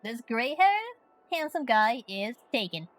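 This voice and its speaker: Chatty Finnish woman streamer, higher voice. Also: very animated, a little slow, fairly bright tone, faint music behind. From Finland. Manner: chatty and playful, musing aloud. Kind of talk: casual game commentary, reading questions aloud.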